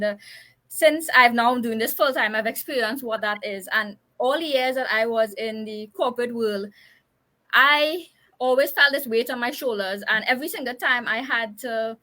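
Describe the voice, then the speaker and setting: A woman's voice: fairly high pitch, sharp tone. A Trinidadian woman in her thirties speaking as a guest in a casual live chat, chatty and explanatory. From Trinidad and Tobago. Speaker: interview guest, business owner.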